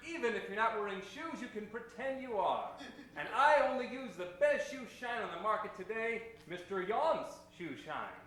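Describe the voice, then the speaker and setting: A man's voice: sits high, lively intonation, moderate pace, a bit bright, very echoey, low-quality recording. A man playing a chirpy, pushy shoe-shine salesman in a parody old-time radio commercial, with folksy patter. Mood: salesy, upbeat.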